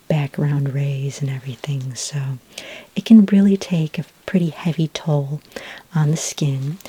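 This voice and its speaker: A woman doing a soft-spoken, half-whispered ASMR roleplay as a calm, reassuring skin care consultant, explaining gently and a little hesitantly. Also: low voice, husky, rough recording.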